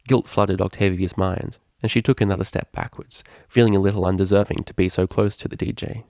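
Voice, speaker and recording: A man's voice: low-pitched, fairly even intonation, a little fast, a little mellow, no echo, clean studio sound. A man narrating a scripted story as a fan fiction reader.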